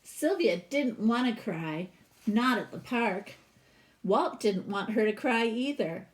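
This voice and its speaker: A woman reading a children's picture book aloud like a teacher, animated and stopping for asides and questions to young listeners. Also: a little high, takes it slowly. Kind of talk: expressive storybook read-aloud with asides to children.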